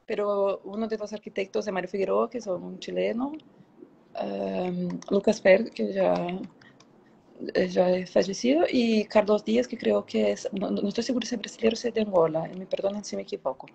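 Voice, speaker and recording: A woman in her thirties with a Brazilian Portuguese accent reflects casually in an interview. Her voice has a lot of fry, and she hesitates as she looks for words. The video-call sound is somewhat muffled. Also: medium pitch, fairly even intonation, fairly quick, dark tone. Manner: hesitant, thinking aloud, searching for words. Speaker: interview guest. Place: indoor room, on a video call.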